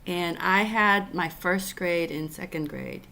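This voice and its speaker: A woman from Taiwan hosts a casual chat over a video call. Her voice sits low and her pace is unhurried. She hesitates a lot, with fillers and restarts. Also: creaky, bright, forceful tone. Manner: halting, many fillers and restarts. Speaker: podcast host.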